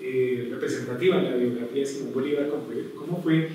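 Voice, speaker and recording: A man's voice: medium pitch, fast talker, mellow, slight background noise. A man giving a public lecture, thoughtful and full of fillers and restarts. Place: hall with strong echo. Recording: echoey hall from far off.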